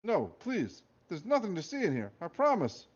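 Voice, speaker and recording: A man's voice: high-pitched, wildly swooping pitch, moderate pace, husky, lo-fi sound, voice pushed up, exaggerated voice. A man voicing a clever young girl who talks her way out of trouble.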